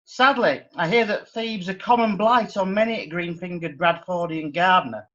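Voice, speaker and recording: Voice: medium pitch, moderate pace, bright, forceful tone, highs cut off, close to an everyday voice. An actor playing a wry, impatient magistrate. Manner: wry, exasperated, colloquial. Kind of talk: radio drama acting.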